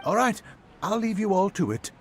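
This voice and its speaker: An actor voicing a good-natured, slightly defensive porter in a video game, earnest with a bit of grumbling. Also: male voice, high-pitched, sing-song, moderate pace. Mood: easygoing. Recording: music behind the voice. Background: music.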